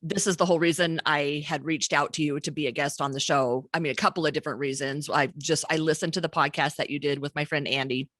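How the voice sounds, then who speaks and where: Woman, forties, podcast host; bright tense voice, candid and profane, dead-dry studio mic. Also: deep voice, steady tone, fast, few pauses, no room sound. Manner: candid, swears freely, laughs often. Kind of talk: casual podcast chat. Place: home studio with shelves and plants. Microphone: studio mic with pop filter.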